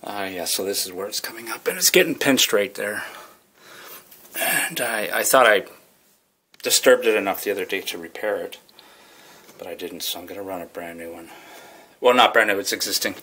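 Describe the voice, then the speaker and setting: A man's voice: on the low side, normal speed, pauses often, sharp tone. A man giving casual, plain-spoken commentary as he works, thinking aloud in an informal way. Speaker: fire alarm technician apprentice narrating his work. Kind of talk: casual hands-on work vlog commentary. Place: indoor building, at ceiling space and wall panels. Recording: noticeable background noise on handheld camera.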